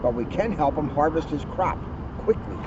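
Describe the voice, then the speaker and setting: A man voicing a sage old owl from a storybook, measured, formal and matter-of-fact. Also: on the high side, lively intonation, close to an everyday voice. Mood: calm advising. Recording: noisy outdoor recording, slightly muffled.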